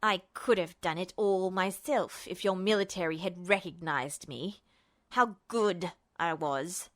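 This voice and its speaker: Woman playing a cold, snarling male villain, pitched lower. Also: unhurried, natural voice. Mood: hissing anger. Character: smug, bitter male villain, contemptuous failed alchemist.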